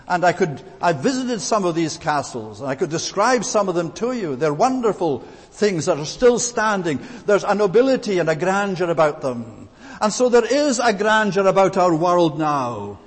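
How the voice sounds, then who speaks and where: A man from Scotland with a Scottish accent, preaching an earnest expository sermon and repeating words for emphasis. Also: a little high. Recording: long reverb of a large hall.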